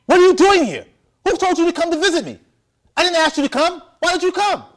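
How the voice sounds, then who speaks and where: A man voicing a cruel, furious grown son in a moral story, yelling harshly and indignantly. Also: on the low side, a little slow, close to an everyday voice.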